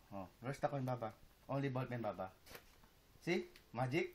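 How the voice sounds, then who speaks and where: A man's voice: mid-range voice, sing-song, a little slow, a bit bright. A man from the Philippines with a Filipino accent, performing street magic for passersby in casual, repetitive broken English, coaxing his audience along. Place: outdoors on a city street. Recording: noisy street with traffic.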